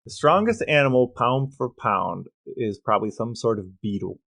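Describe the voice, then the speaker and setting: A man co-hosting a podcast, chatting casually and delivering playful facts and figures with a deadpan touch. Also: medium pitch, normal speed, creaky. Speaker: podcast co-host. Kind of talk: casual chat with playful calculations.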